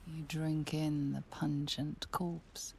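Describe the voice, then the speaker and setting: A woman playing an ominous, whispery narrator of a dark fantasy video game. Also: sits low, little pitch movement, slow, vocal fry, very noisy, heavily acted. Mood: ominous, hushed. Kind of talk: scripted second-person narration. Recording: faint music behind narration.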